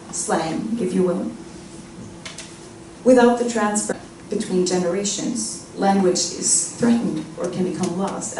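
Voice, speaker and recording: A woman with a Norwegian accent delivers a short prepared talk at a measured pace. Her voice is dark and mellow. It sounds far from the mic, in a big echoing hall. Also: lowish voice, a little hiss or hum. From Norway. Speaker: presenter. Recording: echoey hall, mic at a distance.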